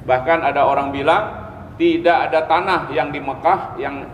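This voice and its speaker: A man's voice: on the high side, fairly even intonation, normal speed, lo-fi sound. A man giving a religious lecture as an Islamic teacher, explaining step by step, repeating key phrases and checking in with listeners. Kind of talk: sermon, religious lecture to a study group. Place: mosque hall, live religious gathering. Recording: echoey hall with long reverb, background noise.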